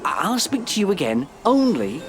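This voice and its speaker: Prim, animated, exasperated man. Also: sits high, moderate pace, music in the background. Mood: indignant, exasperated. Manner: pedantic, stuffy, hesitant when flustered. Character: exasperated, prim, bookish divorced man named Carl. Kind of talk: audio play acting.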